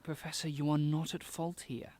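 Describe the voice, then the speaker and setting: A concerned, deferential lab assistant played by a man with a fairly high voice. He speaks formally and earnestly, in the respectful style of a radio drama subordinate. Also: level tone, normal speed, darkish tone, no echo, natural voice. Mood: concerned, pleading.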